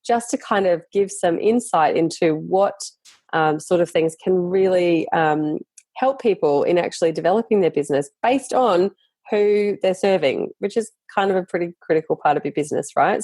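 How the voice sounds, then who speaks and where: A woman's voice: lowish voice, darkish tone, dry recording. Chatty woman hosting a podcast. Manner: chatty, enthusiastic, many ums and restarts. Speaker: podcast host. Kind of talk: casual interview chat.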